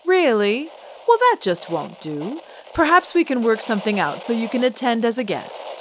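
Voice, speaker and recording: A woman's voice: fairly high pitch, sing-song, moderate pace, noisy background. A woman playing a grand celebrity singer, coy and theatrical, in an old-time radio drama style. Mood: gracious. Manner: theatrical, coy, condescendingly sweet.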